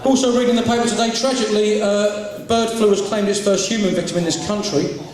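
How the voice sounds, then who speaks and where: A fast-talking comedian working a crowded function room, his fairly high voice coming from some way off the mic. The hall rings with heavy echo, and a lively audience murmurs and laughs behind him. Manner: rapid-fire joke telling, voices characters in jokes. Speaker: stand-up comedian hosting a charity fundraiser. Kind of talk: stand-up comedy routine. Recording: distant, very echoey hall with crowd.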